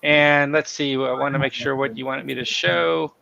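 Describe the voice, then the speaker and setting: A man with a cold hosting a live company stream, talking in halting bursts full of fillers and restarts. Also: mid-range voice, steady tone, gravelly, pushed and bright, highs cut off. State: has a cold, losing his voice. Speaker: host of company town hall stream.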